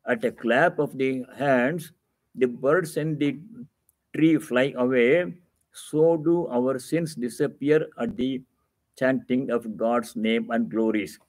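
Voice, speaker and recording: A man's voice: medium pitch, slow pace, dry recording, rough recording. Man giving an emphatic spiritual discourse, dry recording. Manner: didactic, emphatic, repeats key phrases for stress. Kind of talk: religious discourse, reading aloud and explaining.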